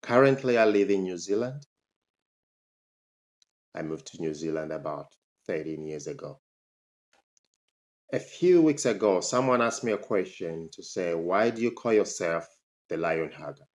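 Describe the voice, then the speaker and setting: Slow, deliberate man. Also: expressive. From Zambia. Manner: emphatic, pitch jumps up on rhetorical questions. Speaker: motivational speaker. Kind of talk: personal storytelling to camera.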